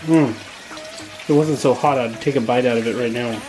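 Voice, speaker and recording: A man's voice: mid-range voice, normal speed. American man, easygoing, echoey room. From United States. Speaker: family video creator, radio guest. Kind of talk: casual interview chat. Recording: echoey room with faint music.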